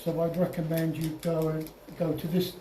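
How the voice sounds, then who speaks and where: Mellow man presenting, distant in a roomy space. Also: on the high side, little pitch movement. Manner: halting, repeats and restarts phrases. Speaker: presenter at an amateur radio club. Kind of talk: informal software tutorial over slides. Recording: roomy, distant mic, faint noise.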